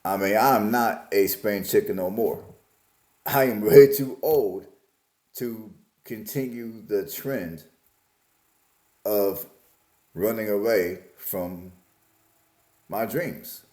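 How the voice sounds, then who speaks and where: A man's voice: lowish voice, slow, pauses often, dark tone, roomy sound. A man in his forties from Alabama, musing aloud in a casual, plain-spoken vlog about his life. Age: 40s. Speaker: vlogger. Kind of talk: casual reflective monologue.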